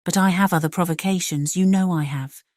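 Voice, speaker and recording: A woman playing a proud young gentlewoman in a dramatized audiobook, formal, measured and cuttingly indignant. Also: on the low side, fairly even intonation, no room sound, clean studio sound, lightly acted.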